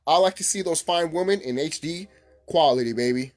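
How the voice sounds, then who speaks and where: A man hosting a casual, unscripted gaming vlog, rambling excitably, repeating himself and swearing casually. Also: fairly high pitch, a little fast, soft background music. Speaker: gaming video host.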